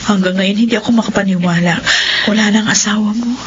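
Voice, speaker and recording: A woman playing a caring, practical mother in a radio horror drama, with a maternal, expressive delivery. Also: mid-range voice, fast, close to an everyday voice. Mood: consoling. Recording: dull lo-fi audio with loud noise.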